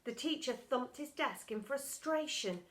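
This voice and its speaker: A woman's voice: high voice, expressive, measured pace. A woman narrating a children's storybook expressively and theatrically, as a primary school storytime reader. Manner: dramatic, theatrical.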